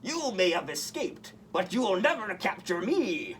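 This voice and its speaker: A snarling storybook supervillain, acted out by a male reader, spits vengeful threats in a theatrical, menacing way. The voice is pitched up and swings widely, with some noise in the recording. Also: sing-song, unhurried, fairly bright tone, distant mic, exaggerated voice. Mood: furious.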